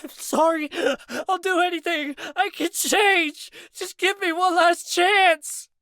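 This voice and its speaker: A man's voice: steady tone, normal speed, no room sound, clean studio sound. A man voicing a heartbroken young man who screams in anguish and sobs out desperate pleas. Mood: desperate pleading. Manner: shrill cracking shouts and sobbing pleas.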